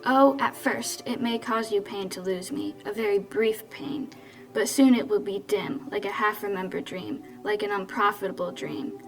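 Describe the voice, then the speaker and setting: Gentle young woman for radio play, female actor: mid-pitched, earnest, sorrowful, slightly off-mic. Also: steady tone, normal speed, far from the mic, soft background music, close to an everyday voice, rough recording. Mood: sorrowful, resigned. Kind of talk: old-time radio drama acting.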